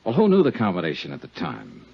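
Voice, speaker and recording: An American actor playing a dry, skeptical insurance investigator in a 1950s radio detective drama. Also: male voice, fairly low pitch, wildly swooping pitch, fast, vocal fry, husky, full character voice. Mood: probing, dry. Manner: terse, skeptical questioning and wry narration. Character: hard-boiled male insurance investigator, dry and probing. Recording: muffled 1950s radio recording.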